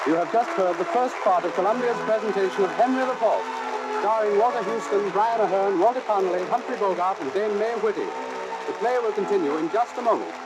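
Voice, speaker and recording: A man announcing formally for a radio network in 1930s style, over loud crowd noise on an old recording. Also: sits high, a little fast.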